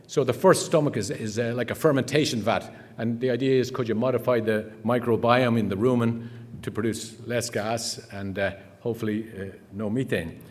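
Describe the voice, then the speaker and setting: A man's voice: medium pitch, fairly even intonation, moderate pace, darkish tone. An Irish man giving a chatty conference talk, full of fillers, restarts and anecdotes. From Ireland. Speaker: conference speaker. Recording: long hall reverb.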